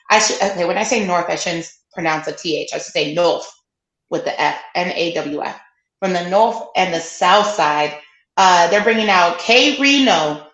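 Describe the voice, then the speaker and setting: A woman's voice: medium pitch, a bit bright. A woman co-hosting a casual sports podcast, chatting and plugging events with loose asides. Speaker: podcast co-host. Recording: roomy echoey sound.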